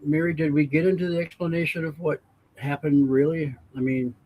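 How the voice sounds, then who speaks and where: A man with a raspy voice chatting casually as a podcast co-host, rambling and repeating himself. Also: on the high side, flat delivery, fairly quick, darkish tone, low-quality recording. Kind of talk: casual chat.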